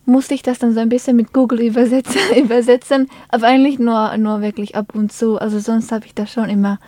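A woman's voice: on the high side, steady tone, fast, warm tone, no echo. A young woman hosting a casual chat, encouraging and friendly, with short backchannels. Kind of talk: casual conversation.

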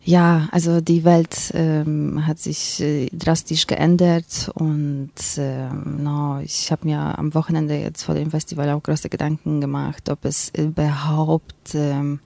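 A woman's voice: low-pitched, little pitch movement, slow pace, gravelly, few pauses, mellow, dry recording. A woman from Poland with a Polish accent, a festival organizer being interviewed, speaking hesitantly with many fillers. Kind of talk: radio interview.

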